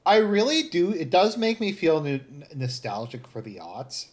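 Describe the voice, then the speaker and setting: A man with a Southern Ontario Canadian accent, chatting casually as a livestream host in a halting, rambling way that sounds like thinking aloud. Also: on the high side, expressive. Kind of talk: casual chat, live commentary.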